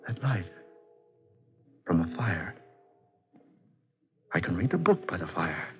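An actor playing a tormented, weary man with a halting, intense delivery in 1940s radio style. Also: low voice, big pitch swings, heavily acted. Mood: dazed, wondering. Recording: dull 1940s radio recording, music behind.

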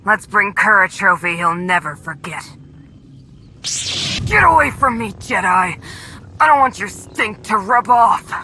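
A woman playing a hot-tempered, defiant gang leader in a video game, sneering and forceful. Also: medium pitch, lively intonation, a little slow, pauses often, a little hiss or hum. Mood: scornful, defiant. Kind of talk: video game cutscene acting.

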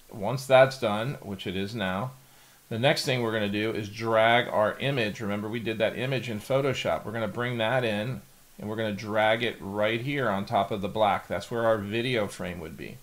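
A middle-aged man with a fairly low voice. He hosts a casual software tutorial. His explanations are plain and go step by step. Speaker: tutorial host. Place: indoor home office.

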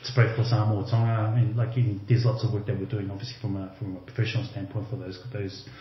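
A man's voice: on the low side, little pitch movement, fast, slight background noise. A man, a former rugby league player, talking casually as a podcast guest and leaning on "you know" and "like". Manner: loose and conversational, many fillers like "you know" and "like". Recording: roomy, slightly dull remote audio.

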